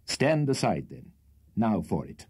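A man's voice: fairly low pitch, sing-song, a little mellow, husky, dry recording, clean studio sound, heavily acted. Man putting on a hearty, bossy old English squire. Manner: brisk, commanding, jovial. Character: hearty, bossy old country gentleman host.

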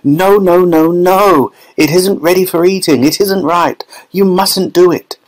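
A man's voice: sits high, unhurried, voice pushed up. A man reading a storybook aloud, voicing a fussy, eccentric male candy maker with a fretful way of talking. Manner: fretful, pleading.

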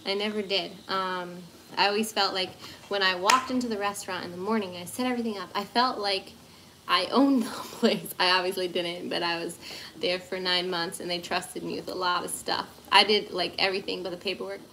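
A woman's voice: on the low side, fairly even intonation, fairly quick, background noise. A woman in her thirties chatting and giggling while she bakes at her kitchen table, talking into a phone camera during a livestream. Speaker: livestream baking host. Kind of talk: casual chat while baking. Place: home kitchen table, indoors.

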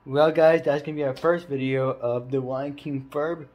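A man's voice: medium pitch, fairly even intonation, moderate pace, darkish tone. A young man talking casually to viewers as an amateur video host, recorded on a phone at home. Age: young adult. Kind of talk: casual vlog introduction.

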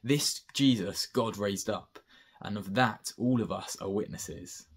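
A young man reads from the Bible in a fairly low voice. He is recorded on a home device, at arm's length. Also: slow pace, husky. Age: young adult. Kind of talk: scripture reading. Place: bright room at home by a window.

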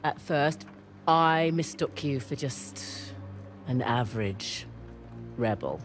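A woman playing a brash, quick-witted treasure-hunting thief in a video game, with a sardonic, cocky delivery. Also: extremely low pitch, lively intonation, music in the background, heavily acted. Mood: smooth bluffing. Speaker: voice actor playing an adventurer. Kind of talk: cinematic video game acting.

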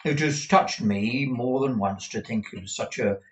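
Man playing a halting, gruffly kind eccentric, slow-spoken. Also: mid-range voice, expressive, roomy sound, natural voice, low-quality recording. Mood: puzzled muttering. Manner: halting, repeats words, broken muttering. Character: eccentric, kindly, gruff man, muttering and fond.

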